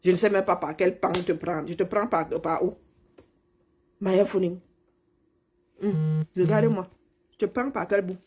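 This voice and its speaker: A woman's voice: on the low side, fairly even intonation, a little slow, long pauses, darkish tone. A middle-aged woman, mockingly scolding a politician in a heated live-stream rant. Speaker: commentator replying to a politician.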